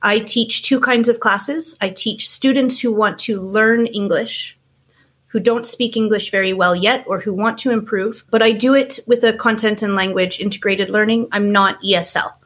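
A woman in her forties from Canada, speaking with a slightly husky voice at a middle pitch. She explains things in a steady, measured way, frank and clear, like a teacher describing her work. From Canada, small towns around Toronto. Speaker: guest, teacher and school founder. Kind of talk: casual interview chat.

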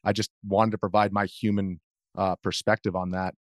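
A man's voice: sits low, little pitch movement, normal speed, dry recording, studio quality. A man with an American accent answering interview questions conversationally, explaining himself earnestly with hesitant phrasing. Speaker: interview guest, journalist.

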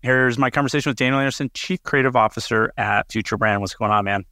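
A man's voice: medium pitch, fast, pushed and bright, dry recording, clean studio sound. A man hosting a podcast, chatty and enthusiastic, often restarting words as he talks. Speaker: podcast host. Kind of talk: casual interview hosting.

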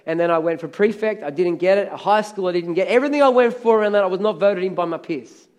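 A middle-aged pastor with a hoarse, crackly voice, preaching a sermon with energetic, emphatic repetition. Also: male voice, sits high, fast talker.

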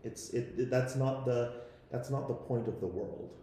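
A man speaking off the cuff on a panel, conversational and halting, often repeating himself. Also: medium pitch, warm tone, slight background noise. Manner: halting, conversational, frequent repetition. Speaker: panelist from a podcast team. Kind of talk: unscripted panel discussion. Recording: very echoey room.

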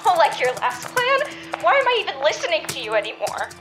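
A woman's voice: high-pitched, big pitch swings, fairly quick, loud music behind the voice. A woman playing an indignant, principled superheroine, emphatic and confrontational, in an audio drama. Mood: outraged, sarcastic.

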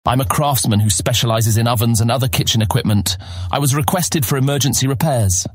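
Actor as an earnest young man, husky mid-range voice. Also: mid-range voice, a little fast, dry recording, studio quality, lightly acted. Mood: composed, deferential. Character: earnest, polite young male guildmaster, a little unsure. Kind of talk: fantasy audio drama acting.

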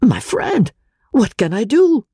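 A woman voicing an excitable, easily bewildered little Italian professor, exclamatory and dramatic, in an audiobook reading. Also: fairly high pitch, takes it slowly, husky, no room sound, studio quality, voice pushed up, full character voice. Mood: piteous, flustered.

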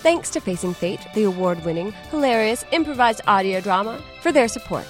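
A woman reads a scripted podcast promo in a theatrical, mock-casual style. Her mid-range voice swings widely in pitch, with light music in the background. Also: animated, brisk. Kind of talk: playful scripted promo read.

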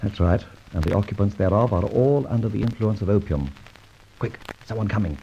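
An actor playing a suave, flippant gentleman adventurer, bantering and clipped, in an old radio drama. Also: on the low side, fairly quick, gravelly, a little hiss or hum. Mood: urgent, hushed. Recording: muffled old radio transcription.

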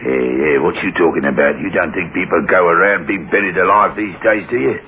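A gruff, suspicious cemetery caretaker in an old radio horror play. His low voice creaks as he blusters in rough working-class speech. The recording is thin and narrow, like an old broadcast. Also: a little fast, a little hiss or hum. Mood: suspicious, blustering. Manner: gruff, blustering, colloquial, ungrammatical. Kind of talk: 1960s radio horror drama acting.

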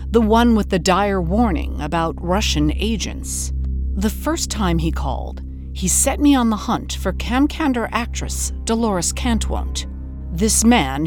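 A woman's voice: mid-range voice, darkish tone. An actress playing a wry, incredulous woman hosting a scripted investigative documentary podcast, with a dramatic storytelling manner. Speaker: host.

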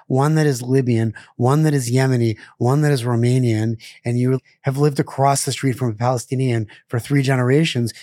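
A man's voice: medium pitch, darkish tone, dry recording, clean studio sound. A middle-aged man, a chef, talking casually in an interview, rambling with lots of fillers and restarts. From born in Israel, raised in Pittsburgh. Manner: rambling, many fillers and self-corrections.

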